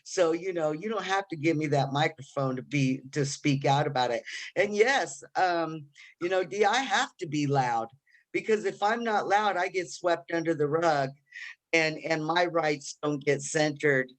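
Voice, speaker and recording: A woman in her fifties speaking loudly and forcefully on a conference panel. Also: sits low, a little slow. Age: 50s. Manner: loud, forceful, emphatic. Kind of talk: panel discussion.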